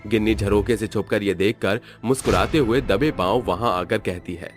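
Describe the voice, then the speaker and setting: A man narrating a cartoon story in a steady storytelling manner. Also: fairly low pitch, moderate pace, faint music behind.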